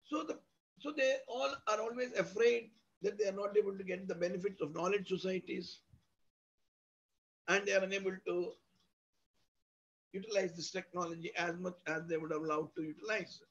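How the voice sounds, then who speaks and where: A man with an Indian accent and a husky voice gives an academic lecture, explaining with examples in an emphatic, didactic way and piling up synonyms and lists. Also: high-pitched, steady tone, normal speed, long pauses, fairly bright tone. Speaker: university lecturer. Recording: dull lo-fi audio.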